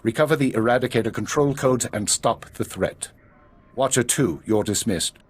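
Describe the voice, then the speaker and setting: An actor playing a guarded intelligence chief in a video game, measured, formal and authoritative. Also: male voice, mid-range voice, slightly rough, natural voice. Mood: commanding. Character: grave, guarded male intelligence spymaster. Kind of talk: video game drama acting.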